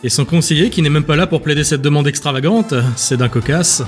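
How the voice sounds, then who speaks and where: A man's voice: on the high side, fairly quick, natural voice. A man voicing a casual, mocking male councillor with a breezy, ironic, theatrical delivery in an audio drama. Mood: sardonic, amused. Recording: music bed behind the voice.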